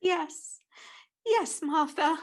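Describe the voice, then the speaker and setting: A woman playing a gentle, grieving mother in a radio drama. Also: very high voice, drawn-out words, full character voice. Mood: wistful. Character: grieving, gentle mother mourning her little son. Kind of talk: vintage radio drama acting.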